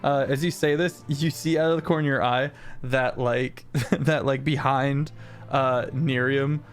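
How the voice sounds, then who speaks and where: A man's voice: medium pitch, fairly even intonation, unhurried. A man narrating as game master in a tabletop role-playing game, rambling loosely and laughing often.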